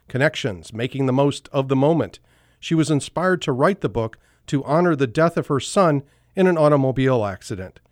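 A man hosting a radio program, introducing a guest and asking measured questions. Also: mid-range voice.

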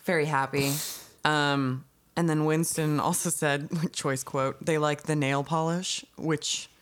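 Low-voiced, blunt young woman co-host. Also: fairly even intonation, a little slow. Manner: blunt, sarcastic, swears freely. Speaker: podcast co-host. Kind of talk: casual recap chat.

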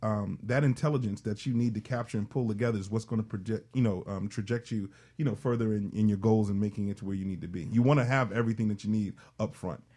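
Male panelist, explanatory and businesslike, rapid delivery, soft-edged mellow tone. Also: on the low side, fairly even intonation. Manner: explanatory, uses business jargon. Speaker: panel guest advising on nonprofit management. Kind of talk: conversational panel discussion.

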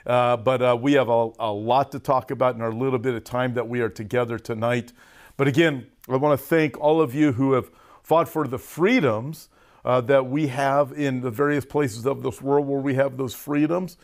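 A man's voice: mid-range voice, fairly even intonation, normal speed. An American man speaking as a pastor to an online audience in an informal, folksy sermon style, recovering from a cough with a lozenge in his mouth. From United States. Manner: folksy, direct address with emphatic stresses. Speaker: pastor hosting a prophecy update.

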